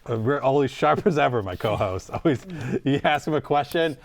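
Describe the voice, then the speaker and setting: A man's voice: mid-range voice, animated, fairly quick, no room sound. A man hosting an improvised comedy interview in an informal, joking, rambling way. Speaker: host.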